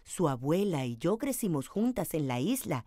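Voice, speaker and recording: A woman's voice: deep voice, sing-song, normal speed, dark tone, no room sound, clean studio sound. A woman storyteller voicing a wise, loving old grandmother in a picture book, assured and affectionate. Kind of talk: storybook character reading.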